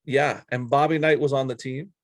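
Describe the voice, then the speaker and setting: A man's voice: mid-range voice, fairly even intonation, moderate pace, no echo, clean studio sound. A man co-hosting a casual sports talk show, with a blunt, opinionated way of talking. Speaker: sports podcast co-host. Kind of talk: casual sports chat, counting down a list.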